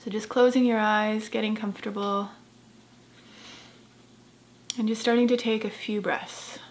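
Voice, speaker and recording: Creaky-voiced woman guiding a meditation. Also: medium pitch, level tone, slight background noise. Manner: gentle, soft-spoken, unhurried with long pauses. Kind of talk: guided meditation.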